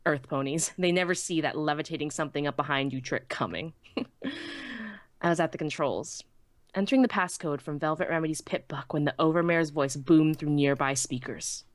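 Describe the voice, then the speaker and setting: A woman's voice: low voice, moderate pace, no room sound, studio quality. A woman narrating a scripted first-person story dramatically, as in a fan audiobook.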